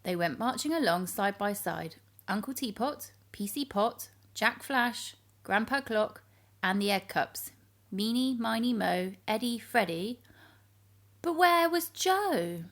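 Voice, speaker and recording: A woman reading a storybook to young children in an animated, expressive manner, addressing her listeners directly. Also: a little high, slow pace.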